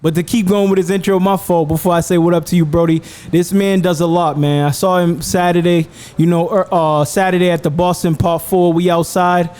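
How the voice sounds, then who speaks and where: A man's voice: sits high, fairly even intonation, a little fast, few pauses, a little mellow, slight background noise. A man hosting a casual interview, upbeat and full of affirmations as he hypes his guest. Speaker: podcast host.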